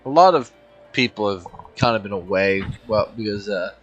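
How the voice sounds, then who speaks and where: A man's voice: fairly low pitch, moderate pace, fairly bright tone, lo-fi sound, faint music behind. A man streaming a space game, chatting casually in a laid-back, agreeable way. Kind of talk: casual gameplay stream chat.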